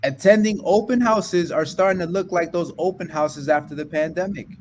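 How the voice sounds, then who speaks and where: A man's voice: fairly high pitch, moderate pace, a little hiss or hum. A man co-hosting a real estate podcast, talking in a blunt, streetwise, casual way. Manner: streetwise, blunt, says "yo" and "folks".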